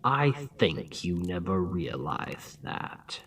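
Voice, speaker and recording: A scheming demon king, seductive and mocking, as a man acts him out. His voice is very deep and unhurried, purring taunts that sink into whispers. The mic sits a little distant in an ASMR roleplay. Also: big pitch swings, a bit bright, a little hiss or hum, full character voice. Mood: taunting. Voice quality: deep, slow, intimate. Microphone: close ASMR mic.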